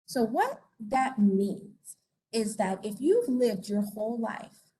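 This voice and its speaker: A woman's voice: on the high side, very animated, slow pace, warm tone, rough recording. A woman from Puerto Rico giving a conversational informational presentation as an investigator, with many fillers and tag questions. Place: conference or meeting room.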